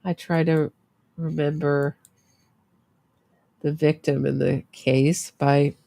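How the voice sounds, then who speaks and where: A woman's voice: low voice, flat delivery, takes it slowly, creaky, mellow, dry recording. A middle-aged woman who sounds congested, hosting a true crime show in casual livestream commentary, chatty and thinking aloud.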